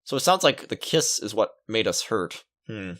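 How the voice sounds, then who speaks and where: A man's voice: lowish voice, very animated, moderate pace, a bit bright. A man reading a visual novel aloud as a let's player, adding playful joking asides. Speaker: video game let's player. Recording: music under the voice. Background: game music.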